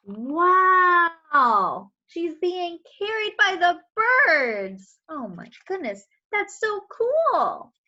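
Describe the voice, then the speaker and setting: High, animated woman reading to children. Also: extremely slow, muffled recording. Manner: expressive, explaining, questioning, with exclamations. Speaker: children's storyteller. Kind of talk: picture-book read-aloud with asides to young listeners.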